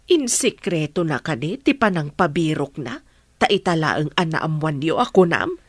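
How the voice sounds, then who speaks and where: An actress playing a middle-aged village mother in a radio drama, worried, questioning and expressive. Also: low voice, normal speed, dry recording, clean studio sound. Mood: curious, concerned.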